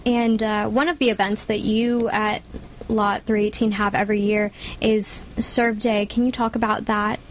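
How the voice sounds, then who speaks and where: A woman's voice: medium pitch, little pitch movement, moderate pace, slight background noise, rough recording. A woman interviewing a guest, asking questions in a conversational way.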